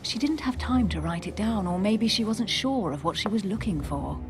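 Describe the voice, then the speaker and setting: Casting: skeptical young noblewoman played by a woman, learned and nonchalant, dry wit with very varied pitch, video game drama over light music. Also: animated, moderate pace, lightly acted. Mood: measured. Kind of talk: video game cutscene acting.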